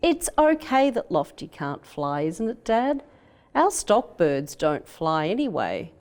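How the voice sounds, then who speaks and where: A woman's voice: lowish voice, sing-song, slow, darkish tone. A woman voicing an eager, hopeful young boy with an Australian accent in a children's storybook. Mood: eager, proud.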